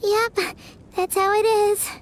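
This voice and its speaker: A woman voicing a tiny, childlike female fairy companion in a video game, squeaky, chatty and inquisitive. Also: little pitch movement, takes it slowly, a little hiss or hum. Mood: matter-of-fact. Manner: exclamatory, refers to herself by name. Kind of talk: animated video game voice acting.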